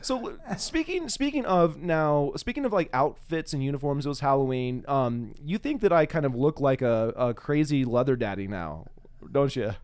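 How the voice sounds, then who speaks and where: A man's voice: mid-range voice, expressive, normal speed, a little mellow, smooth. A man hosting a podcast interview in a casual, conversational way, with a wry, playful and self-mocking manner.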